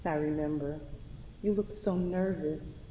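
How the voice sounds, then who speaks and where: A woman playing a calm, affectionate, assured wife with understated delivery in a staged play reading. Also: low voice, unhurried. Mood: gentle, teasing. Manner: brief, understated replies. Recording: echoey hall, faint noise.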